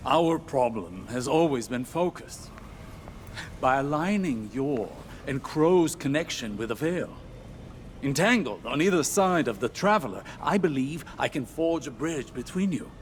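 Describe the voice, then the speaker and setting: A man's voice: medium pitch, lively intonation, a little slow, a little hiss or hum, natural voice. A man playing a confident, scholarly mystic in a video game, explaining in a precise, intent way. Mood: intent, reasoning. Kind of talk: scripted video game drama.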